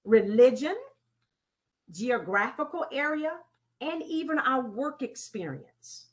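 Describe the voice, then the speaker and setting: A woman leading an interactive training talk in a deliberate, teacherly way, putting questions to her audience and repeating key phrases. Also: a little high. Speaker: presenter leading a training session. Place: online conference call with slides.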